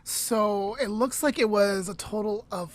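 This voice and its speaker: A woman with a mid-range voice tells a story at an unhurried pace to her podcast co-host. She lets her lines trail off into a soft near-whisper. Between the story beats she adds chatty asides and corrects herself. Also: mid-range voice, fairly even intonation, no room sound.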